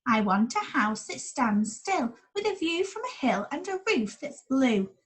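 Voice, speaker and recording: Woman as kindly storybook ex-pirate, male, jaunty, helpful, high lively voice. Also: a little slow. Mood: earnest, hopeful. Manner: jaunty, exclaiming.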